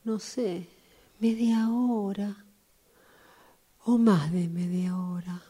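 A woman's voice: mid-range voice, steady tone, very slow, dark tone, husky. A woman acting a dreamy, wistful train passenger in a radio drama, theatrically echoing her own phrases. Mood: dreamy, nostalgic.

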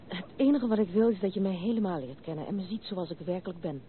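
A woman's voice: fairly low pitch, quick pace, natural voice. Woman acting a candid young noblewoman, tinny old recording. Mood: earnest, vulnerable. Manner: candid, earnest, literary phrasing. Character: spirited, wounded young noblewoman confiding her past. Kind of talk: Dutch radio drama acting. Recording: narrow tinny old radio recording, faint hiss.

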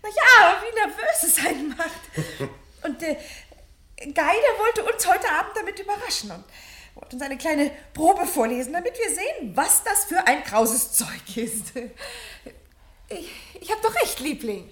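An actress playing a nervous, placating housewife in a radio drama, with a fluttery, hesitant, coaxing way of talking. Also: female voice, very high voice, sing-song, measured pace, sharp tone, exaggerated voice. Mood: anxious, placating. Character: nervous, placating housewife hosting her friends. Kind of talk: 1970 German radio drama acting. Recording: roomy radio studio, faint noise.

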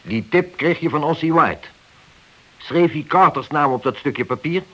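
A man acting in a radio play as a stern, accusing senior police inspector who speaks deliberately. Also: fairly high pitch, pushed and bright. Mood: probing.

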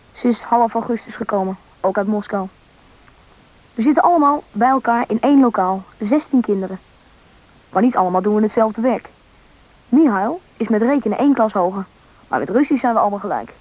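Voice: medium pitch, a little mellow, close to an everyday voice. A performer playing a young boy who narrates his own story in a scripted radio drama. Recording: dull, band-limited radio recording.

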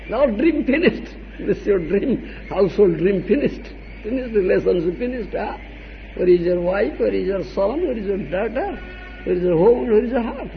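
Brief: male spiritual teacher, high lively voice, chatty lecture style, lo-fi archival recording. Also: creaky. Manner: asks "huh?" often, acts out little dialogues, chuckles. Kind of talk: devotional lecture. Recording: dull lo-fi archival tape with faint hiss.

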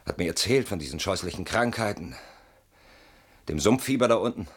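A man's voice: low-pitched, animated, fairly quick, breathy edge. An actor playing a weary, bitter middle-aged man in a theatrical German radio drama monologue, brooding and mocking, swinging between muttering and outbursts. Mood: grieving, muttering. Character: weary, bitter man haunted by a betrayed old friend.

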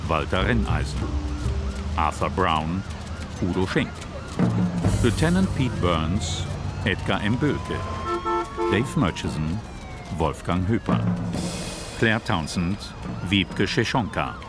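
A man's voice: low voice, very animated. A man formally announcing the credits of a radio drama as a scripted reading. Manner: formal, deliberate listing. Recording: loud music under the voice.

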